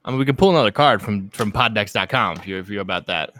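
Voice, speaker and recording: A man's voice: on the low side, animated, fast talker, a bit bright. A young man co-hosting a podcast, laid-back, swearing freely and laughing often. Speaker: podcast co-host. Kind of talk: casual podcast chat.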